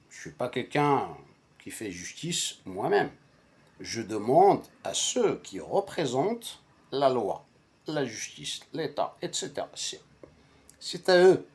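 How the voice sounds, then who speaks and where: A man whose first language is Arabic, telling a personal story casually in a halting, repetitive and emphatic way. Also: mid-range voice, big pitch swings. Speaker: storyteller recounting a personal anecdote to friends.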